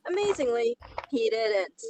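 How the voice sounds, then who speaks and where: A woman with a very high voice takes her time through a story she reads aloud. She is casual and sarcastic, and there is clear noise in the background. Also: sing-song, measured pace, a little mellow, poor audio. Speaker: podcast co-host reading a story aloud. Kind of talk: casual reading of an online story with sarcastic asides.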